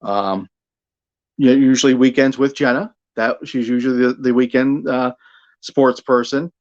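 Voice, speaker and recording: A man's voice: mid-range voice, steady tone, moderate pace, lo-fi sound. A middle-aged American man from Buffalo talking casually as an interview guest about his broadcasting work, often repeating words as he thinks. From Buffalo, New York, United States. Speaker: guest, radio sports broadcaster.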